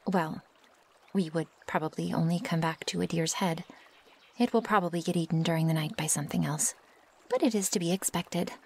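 Woman as a wild werewolf girl, naive and possessive, breathy husky voice, slow careful formal speech, intimate ASMR roleplay. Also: fairly low pitch. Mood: impatient, grumbling. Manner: stilted formal phrasing without contractions, often breathy or near-whispered. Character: feral young female werewolf, naive, curious, impatient, possessive. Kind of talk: ASMR roleplay, intimate one-sided dialogue.

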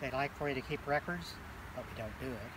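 A man's voice: mid-range voice, fast talker. An older man giving casual, patient hands-on instruction, explaining and repeating points, recorded outdoors by a nearby handheld camera. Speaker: beekeeping mentor. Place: outdoors in a grassy field. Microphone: handheld camera a short distance away. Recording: very noisy outdoor phone video.

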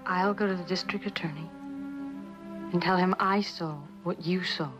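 A woman's voice: lowish voice, fairly even intonation, moderate pace, dull audio, music in the background, lightly acted. A young actress playing a devoted, concerned woman in an intimate television drama scene, speaking earnestly. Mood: earnest, resolved. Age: young adult. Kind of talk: 1960s television drama acting.

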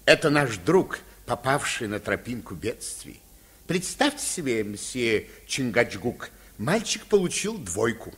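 An actor playing a courteous, animated storybook sea captain, theatrical and polite, in a mid-century Soviet children's radio play. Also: male voice, medium pitch, measured pace, slightly rough.

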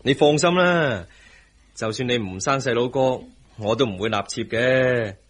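An actor with a Hong Kong Cantonese accent playing a playful, doting young husband in a radio drama, slightly husky. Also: male voice, medium pitch, a little slow, slight background noise, natural voice. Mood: teasing, affectionate.

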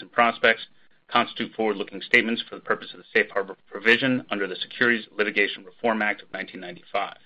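A man reading a formal scripted disclaimer as a company spokesman on an earnings call. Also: lowish voice. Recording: dull, lo-fi conference call audio.

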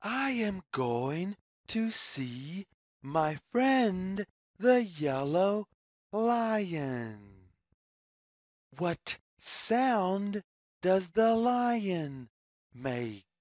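Read-aloud storyteller for young children: very slow, deliberate phrasing, lively sing-song intonation, mid-pitched voice. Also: dry recording, clean studio sound.

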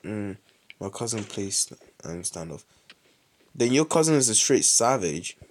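A young British man with a lively voice commentating his mobile game live, chatty with sudden excited outbursts, saying he is ill. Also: fairly low pitch, unhurried. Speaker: streamer.

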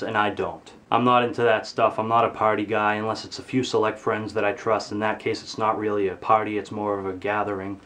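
A teenage boy ranting to his webcam in his bedroom, with comedic, exaggerated anger and lots of swearing. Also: a bit bright. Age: teens. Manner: exaggerated angry ranting, swearing, pitch jumping high when outraged. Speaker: vlogger. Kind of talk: comedic rant to camera. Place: bedroom, indoors.

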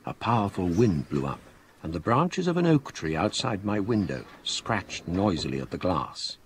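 An actor playing an older, scholarly English gentleman who narrates a ghost story in a measured, formal, literary manner for a radio drama. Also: deep voice, big pitch swings, a little hiss or hum. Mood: grave, reflective.

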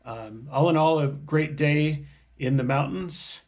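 A man's voice: fairly high pitch, takes it slowly, dry recording, highs cut off. A middle-aged man talking to a camera held at arm's length, giving plain, matter-of-fact commentary on his backcountry ski tour outdoors in the mountains. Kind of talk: casual narration to a handheld camera. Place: outdoors on snowy mountain slopes. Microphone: action camera held at arm's length.

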